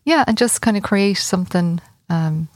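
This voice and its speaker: A woman in her late forties with an Irish accent, a festival organiser talking as a guest in a casual interview, with many pauses and fillers. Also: mid-range voice, expressive, no room sound, studio quality. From Ireland. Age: 40s. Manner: hesitant, many fillers and restarts. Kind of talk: conversational radio interview.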